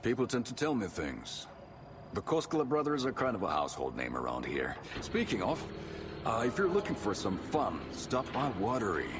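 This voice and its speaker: Jovial small-town game character, chatty salesman, very lively intonation, clear ambient noise behind. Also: fairly low pitch, moderate pace. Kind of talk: video game character acting.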